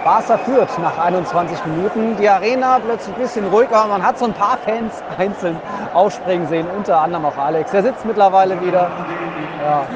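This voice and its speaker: A man's voice: high voice, brisk. A man hosting a football podcast, giving casual live impressions from the stands of a stadium. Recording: noisy stadium, loud crowd behind. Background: crowd noise and whistling.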